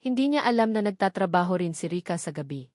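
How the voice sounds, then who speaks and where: A woman's voice: fairly low pitch, expressive, fast, darkish tone, dry recording, studio quality. A woman reading a scripted romantic drama as a story narrator.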